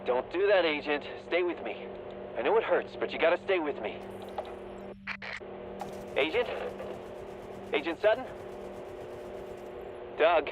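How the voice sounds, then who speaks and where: An actor playing a firm, gritty military dispatcher in a game audio log, talking bluntly over the radio. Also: male voice, a little high, lively intonation, unhurried, pauses often. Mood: urgent, worried. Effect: radio transmission. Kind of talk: dramatic acting in a video game audio log. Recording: muffled, noisy radio-filtered sound.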